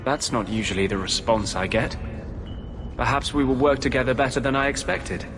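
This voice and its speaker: An actor voicing an earnest, defiant mage healer with a measured, intense delivery in a dramatic video game. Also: male voice, mid-range voice, lightly acted. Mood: earnest, determined. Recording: music behind the voice.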